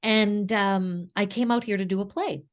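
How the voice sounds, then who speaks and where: A woman's voice: medium pitch, fairly even intonation, moderate pace. A woman in her fifties with a French Canadian accent, a native French speaker, giving an effusive motivational talk as a guest speaker. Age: 50s.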